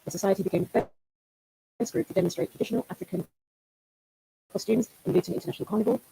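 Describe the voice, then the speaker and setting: A woman's voice: mid-range voice, racing, gravelly, warm tone, husky, rough recording. A woman hosting an online event, formally reading out an introduction for a guest speaker. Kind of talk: formal introduction read aloud. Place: online video call.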